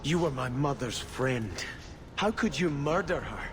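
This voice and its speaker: A man's voice: medium pitch, lively intonation, measured pace, music in the background, natural voice. A man playing a pious, indignant exiled prince in a fantasy video game, earnest and declamatory. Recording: noisy game audio with ambience.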